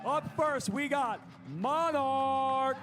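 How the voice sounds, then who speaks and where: A man working as a tournament stage announcer, rallying a crowd and shouting out drawn-out names. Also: extremely high pitch. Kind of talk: hype introductions to a live audience. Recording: loud music over the voice. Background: loud music.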